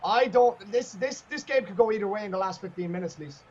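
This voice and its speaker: A male esports commentator with a very high, strained voice calls the match at full tilt. His voice is going hoarse, and he erupts into shouts whenever a goal goes in. The audio is dull and lo-fi. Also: fast. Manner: excitable, shouting at goals. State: losing his voice. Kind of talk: play-by-play commentary.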